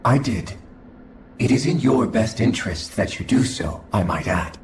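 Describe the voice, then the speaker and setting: A man voicing a coldly logical, formal alien scholar in a video game cutscene, speaking in a measured, clinical way. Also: fairly low pitch, animated, background noise. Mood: calm, formal. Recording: echoing voice over game music.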